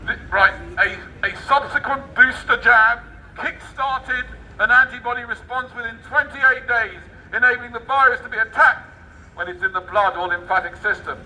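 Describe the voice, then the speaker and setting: Sharp, high-pitched English man, sarcastic street orator. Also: moderate pace. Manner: sarcastic, declamatory, dropping into muttered asides. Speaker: street performer reading a newspaper aloud. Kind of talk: satirical public read-aloud with ad-libbed commentary. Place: outdoor public street. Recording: distant mic outdoors with faint traffic noise.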